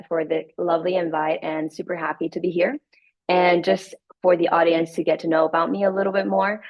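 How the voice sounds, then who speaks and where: A young woman from Hungary speaking as a student panelist, enthusiastic and full of hedging fillers. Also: deep voice. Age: young adult. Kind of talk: panel talk and self-introduction. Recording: dull, muffled lo-fi audio.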